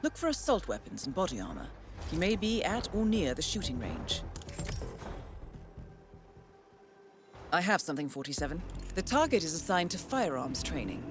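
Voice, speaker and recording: A female intelligence handler in a game briefing, composed and authoritative. Her voice sits a little low but moves with lively inflection. Every word is articulate and formal, set over prominent music. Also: brisk. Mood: focused, clipped. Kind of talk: scripted video game mission briefing. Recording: background music under the voice.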